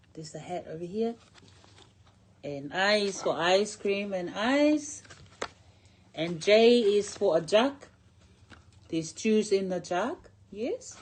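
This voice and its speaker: A woman's voice: mid-range voice, animated, takes it slowly, pauses often. A middle-aged woman reading a picture book aloud to a young child in simple short phrases, drawing out key words and pointing things out with questions.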